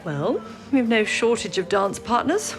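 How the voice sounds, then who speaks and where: A woman's voice: a little high, very animated, a little slow, faint music behind. A woman playing a level-headed, wry wartime statistician, dry and composed in a period radio drama.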